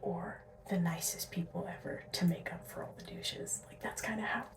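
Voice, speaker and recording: A sleep-deprived young woman from Alberta, rambling in a soft whisper with asides and little laughs as she does her drag makeup. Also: lowish voice, normal speed, vocal fry. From Alberta, Canada. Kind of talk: casual whispered makeup tutorial. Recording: roomy, mic set at a distance.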